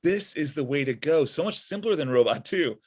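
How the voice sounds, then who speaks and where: A man's voice: a little high, expressive, brisk, a little mellow, husky. A man in his thirties playing a sardonic, frustrated robot inventor in a comedy table read over a video call from home. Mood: pleased. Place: small room at home.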